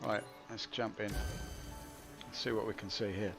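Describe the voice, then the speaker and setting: A man commenting on his live game stream, grumbling and mocking himself with dry humour. His voice sits low with a gravelly edge. Game music plays clearly behind him. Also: little pitch movement, moderate pace. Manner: grumbling, wry and self-mocking.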